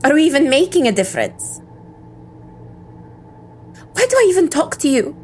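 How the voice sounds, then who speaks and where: A fairly high-voiced female agent played by a woman in an audio drama, embittered and defiant. She speaks with weary intensity and a sardonic edge, over a light bed of music. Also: sing-song, moderate pace, a little mellow. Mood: bitter, exasperated. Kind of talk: scripted audio drama monologue and dialogue.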